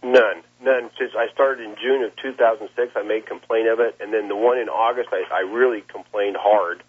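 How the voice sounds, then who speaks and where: A plain-spoken rancher, a man, talks in a rough, husky voice. He gives his account conversationally, stopping and repeating words as he goes. The sound is narrow and muffled, like a lo-fi phone call. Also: fairly low pitch, steady tone, moderate pace. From Nebraska, United States. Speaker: cattle rancher being interviewed. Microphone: telephone. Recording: muffled, lo-fi phone interview line.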